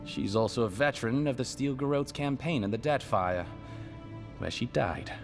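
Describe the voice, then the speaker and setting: An actor playing a courteous, scholarly aide in a video game, speaking in a formal, precise and deliberate manner. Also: male voice, mid-range voice, animated, music in the background, lightly acted. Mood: composed, grave. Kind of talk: game dialogue, measured exposition.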